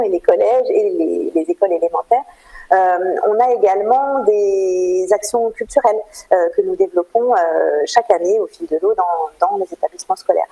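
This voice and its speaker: Mellow-voiced Frenchwoman explaining in an interview. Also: medium pitch, poor audio. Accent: French from France. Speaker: interview guest, cultural programme manager at a theatre. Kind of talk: radio interview, explanatory answers.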